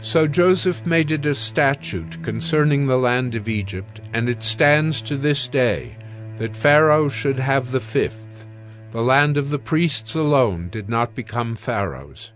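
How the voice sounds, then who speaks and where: Man, medium pitch, dramatized Bible narration, soft music bed. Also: expressive, unhurried.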